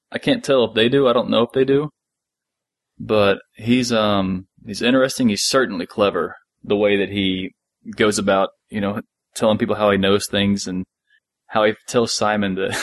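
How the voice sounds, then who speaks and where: Lower-voiced man in a dry, close recording. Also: dry recording. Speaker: podcast co-host. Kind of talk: casual discussion of an audio drama.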